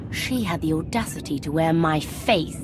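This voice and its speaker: An actress playing a proud, vengeful imperial daughter in a dramatic, menacing video game cutscene. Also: female voice, low voice, lively intonation, moderate pace, background noise. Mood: cold fury. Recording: loud music behind. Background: music.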